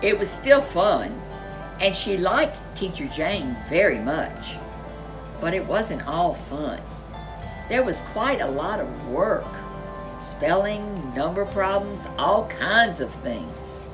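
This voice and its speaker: A woman with a lowish voice reads a children's story at an unhurried pace. Her delivery is highly animated, stretching key words and slipping in chatty asides, with music playing behind her. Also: low-quality recording. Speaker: storyteller reading a children's picture book. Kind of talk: expressive read-aloud narration for young children.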